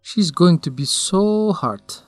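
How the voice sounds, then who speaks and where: An actor playing a caring, concerned young man in a radio drama, speaking in simple, deliberate phrases. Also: high-pitched, expressive, no room sound, faint music behind, heavily acted. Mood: dismayed, sympathetic. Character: caring, concerned young male research companion and friend. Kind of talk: English-learning radio drama acting.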